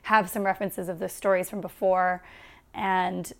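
A woman's voice: lowish voice, little pitch movement, moderate pace, a bit bright. A young adult woman from the United States, a stand-up comedian guest chatting casually and laughing often at herself in an interview.

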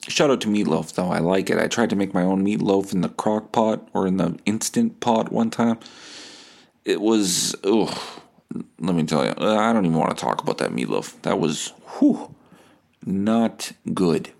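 A man's voice: sits low, expressive, moderate pace, vocal fry, a little mellow. A young man hosting a casual, rambling solo podcast in a profane, slangy, self-deprecating way. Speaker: podcast host.

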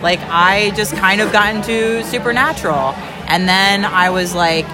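A woman's voice: medium pitch, normal speed, low-quality recording. A woman in her thirties hosting a casual podcast chat, rambling and joking with many restarts. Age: 30s. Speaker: podcast host. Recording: loud crowd and music at a busy event.

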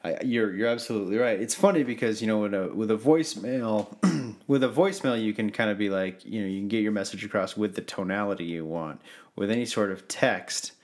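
A man's voice: on the low side, a little fast, a little mellow. A man co-hosting a podcast, talking in a casual, informal way. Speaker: podcast co-host. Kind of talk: casual chat.

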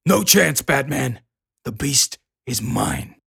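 A man voicing a menacing, gloating and unhinged comic-book villain in a gravelly voice. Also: medium pitch, expressive, slow, fairly bright tone, pitched above the performer's own voice, heavily acted.

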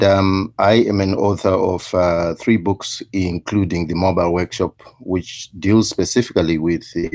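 A man from Zimbabwe, a professor and podcast guest, answering in a measured way with many hesitations. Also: sits low, darkish tone, no room sound. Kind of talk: interview answers.

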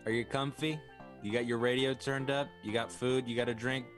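A man reading the closing credits of a radio show in a relaxed, friendly way. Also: mid-range voice, a little fast, over music. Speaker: announcer.

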